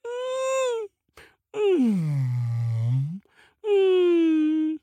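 A man voicing a big, freezing whale from a folktale, crying and pleading in drawn-out wails. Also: creaky, no room sound. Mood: wailing. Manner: drawn-out pleading with high wailing moans.

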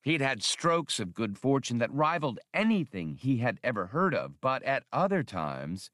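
A man narrating an audiobook in a measured, steady storytelling manner. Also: mid-range voice, no echo, studio quality.

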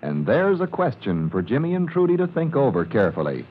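A man's voice: mid-range voice, wildly swooping pitch, a little fast, slight background noise. A man narrating a scripted serial as an announcer in an old-fashioned radio style. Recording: thin, narrow vintage radio recording.

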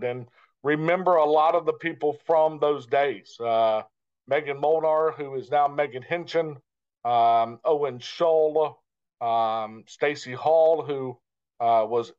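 A man who works as a sports broadcaster answering interview questions in a measured, reflective way, pausing between short phrases. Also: a little high, darkish tone, smooth. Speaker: guest, radio play-by-play broadcaster. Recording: dull, lo-fi sound.

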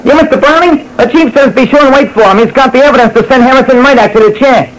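A man's voice: very high-pitched, fast, pitched above the performer's own voice. A man playing a simple, matter-of-fact firehouse maintenance worker in an old-time radio drama. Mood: earnest. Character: plain-spoken male firehouse maintenance man relaying messages. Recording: noisy old radio recording.

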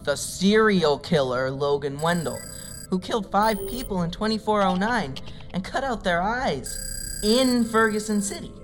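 A woman's voice: mid-range voice, expressive, unhurried, lightly acted. A woman playing a federal technical analyst in an audio drama, with a wry, rambling, informal way of talking. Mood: informative, wry. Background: music bed.

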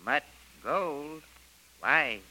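An actor playing a servile but treacherous native jungle guide in an old radio serial, speaking clipped broken pidgin English on a hissy recording. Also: male voice, fairly high pitch, animated, drawn-out words, pushed and bright, heavily acted. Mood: conspiring. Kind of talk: 1930s radio serial acting.

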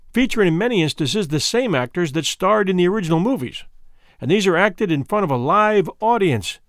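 A man's voice: sits high, no echo, clean studio sound. A man hosting, reading a scripted introduction. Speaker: host.

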